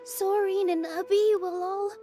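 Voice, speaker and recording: A woman's voice: very high-pitched, fairly even intonation, measured pace, warm tone, faint music behind. A woman voicing a young, sweet dancer who speaks politely, earnestly and modestly. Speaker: theater dancer. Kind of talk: game voice acting.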